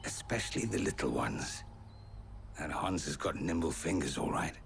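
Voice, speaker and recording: Gravelly, gloating bandit man. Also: a bass voice, animated, normal speed, a bit bright, a little hiss or hum, exaggerated voice. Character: gruff gloating male bandit leader. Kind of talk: video game voice acting.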